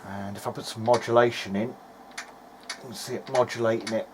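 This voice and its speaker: A man's voice: fairly low pitch, fairly even intonation, fairly quick. A man giving an informal, hesitant video-diary narration as he tests equipment, thinking aloud in a noisy amateur recording. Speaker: hobbyist electronics repairer.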